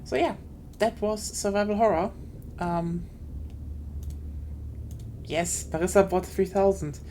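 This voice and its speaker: A woman's voice: mid-range voice, slow, mellow, slight background noise. A woman hosting an award livestream, talking in an informal and opinionated way. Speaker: award show host. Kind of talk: casual livestream commentary. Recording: faint music behind the voice. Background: faint music.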